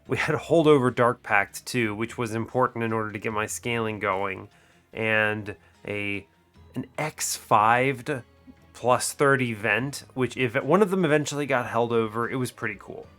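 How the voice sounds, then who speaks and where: A man's voice: medium pitch, normal speed, fairly bright tone, faint music behind. Sick-sounding man with muted, nasal voice. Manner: rambling, self-correcting. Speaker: gaming channel host. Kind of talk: game commentary. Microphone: desk microphone.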